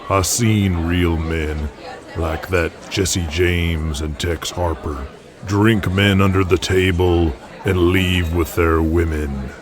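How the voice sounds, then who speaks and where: A man voicing an enormous, menacing Southern truck driver with a slow, drawling, threatening manner in an audio drama. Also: deep voice, steady tone, crowd noise. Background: music.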